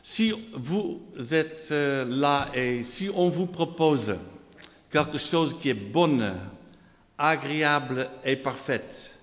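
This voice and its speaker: A man with a Dutch accent preaches in slow, deliberate French, his somewhat high voice ringing with a long reverb through the hall. He reads scripture aloud, repeats phrases in rhythmic lists and calls out to the congregation. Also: fairly bright tone. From Netherlands. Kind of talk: sermon.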